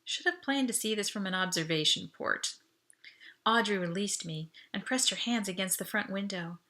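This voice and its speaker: A woman reading an audiobook aloud in expressive first-person story narration. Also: medium pitch, moderate pace.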